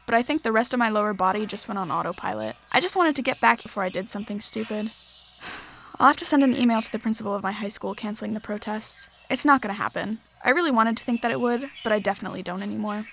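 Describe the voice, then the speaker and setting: A woman's voice: medium pitch, creaky, fairly bright tone, a little hiss or hum. A young woman playing an earnest, frustrated teenage girl activist, speaking conversationally in an audio drama diary. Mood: defeated. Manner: conversational, earnest, youthful phrasing.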